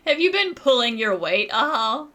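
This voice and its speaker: A laid-back young woman in a video game. She teases with a slow, bright drawl that slides widely in pitch, playful and amused. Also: very animated, full character voice. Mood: teasing. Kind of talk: video game character acting.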